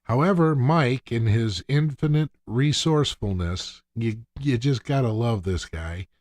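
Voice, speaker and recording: Slow-talking man in casual podcast chat. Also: mid-range voice, darkish tone, dry recording. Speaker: podcast co-host. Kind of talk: casual TV episode recap chat.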